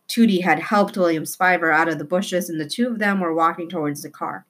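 A woman with a somewhat low voice reads a children's novel aloud. Her delivery is expressive storybook narration. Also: brisk.

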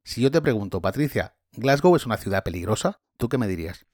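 A man hosting a podcast conversation, relaxed and chatty, often adding tag questions. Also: lowish voice, quick pace, no echo, studio quality. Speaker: podcast host and tour guide. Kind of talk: casual conversation.

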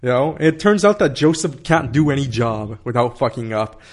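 A man's voice: medium pitch, animated, moderate pace. A man in his thirties telling a long story in casual conversation, rambling with many fillers, swearing and laughing.

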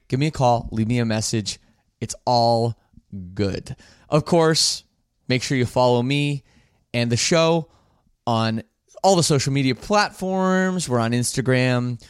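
A man's voice: medium pitch, clear, resonant tone, no room sound, clean studio sound. A man hosting a casual podcast, upbeat and rambling, often restarting his sentences. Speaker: podcast host.

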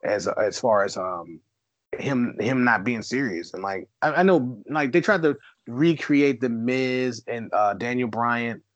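A man's voice: mid-range voice, measured pace, no room sound, highs cut off. A man co-hosting a podcast in casual chat, blunt and opinionated, swearing freely and mocking bits he dislikes. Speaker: podcast co-host.

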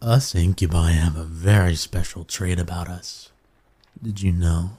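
A man voicing a seductive, smug incubus demon in an intimate ASMR roleplay, teasing and coaxing his listener with pet names in a husky voice. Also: fairly even intonation, a little slow, mellow. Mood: seductive, boastful. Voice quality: low, breathy, husky. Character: seductive male incubus demon, smug, dominant, soothing lover.

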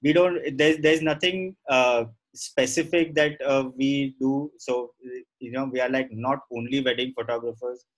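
A middle-aged man with an Indian accent, explaining his work as an interview guest in a halting, conversational way, repeating phrases for emphasis. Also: medium pitch, steady tone, rough recording. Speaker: guest, professional photographer.

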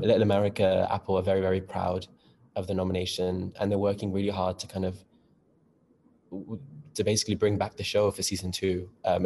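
A young man, talking in a very deep, flat and gravelly voice. He chats in a camp, chatty way full of asides, with a faint hiss behind him. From North West England. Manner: chatty, camp, quick asides, says darling and girl. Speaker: actor, interview guest. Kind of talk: casual interview chat.

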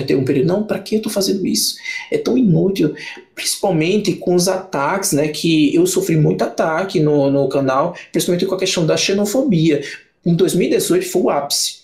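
A man's voice: a little high, gravelly, a little mellow. Young man. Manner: rambling, many restarts and fillers. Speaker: book channel creator, podcast guest. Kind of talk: casual podcast conversation.

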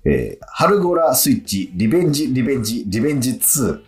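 Animated male radio host in a roomy studio. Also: mid-range voice, brisk, music in the background. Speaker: radio show host and actor. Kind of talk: casual radio talk with co-host.

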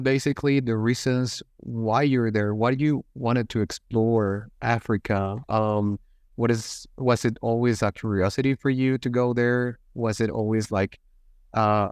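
A man's voice: fairly low pitch, darkish tone, clear, resonant tone, no room sound, studio quality. A man hosting a podcast, asking long, hesitant questions with many repeated words and fillers. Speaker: podcast host. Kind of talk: interview questions.

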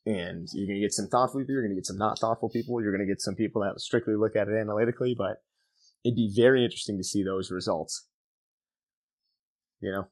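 A man's voice: fairly low pitch, fairly even intonation, fast, rough recording. A young man hosting a casual podcast, talking animatedly and swearing freely. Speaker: podcast host. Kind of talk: casual chat.

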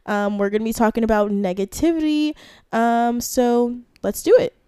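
A woman's voice: a little high, fairly even intonation, normal speed, mellow, clean tone, no echo, studio quality. Chatty young woman with a head cold. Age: young adult. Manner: chatty, laughs often. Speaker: podcast co-host. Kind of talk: casual chat.

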